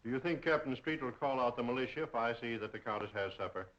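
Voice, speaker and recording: A man plays a haughty gentleman in an old crime picture. He is dryly sarcastic and rattles his lines off quickly at a middling pitch, on a dull vintage soundtrack. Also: lightly acted. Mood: haughty sarcasm. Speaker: actor. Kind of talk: 1930s film acting. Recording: dull 1930s film soundtrack.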